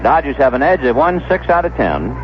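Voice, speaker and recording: A man's voice: medium pitch, big pitch swings, brisk, highs cut off, a little hiss or hum. A man calling baseball play-by-play as a radio announcer, on an old 1970s broadcast. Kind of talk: radio sports commentary.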